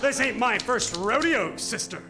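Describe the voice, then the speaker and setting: A man's voice: very high-pitched, lively intonation, a little slow, roomy sound. A man playing a cocky, self-dramatizing private detective in a 1940s noir radio parody, with wisecracking gumshoe slang and theatrical delivery. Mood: triumphant, cocky. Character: rough, cocky hard-boiled private detective, a male, self-dramatizing sleuth. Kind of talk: parody 1940s noir radio acting, alternating narration and dialogue. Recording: live stage radio play with music.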